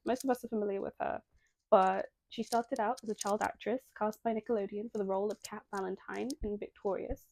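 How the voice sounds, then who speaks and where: A woman's voice: medium pitch, darkish tone, a little hiss or hum, rough recording. A young woman with a slightly nasal voice, reading a scripted video essay and drifting into apologetic, chatty asides. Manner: self-deprecating, breaks into apologetic tangents.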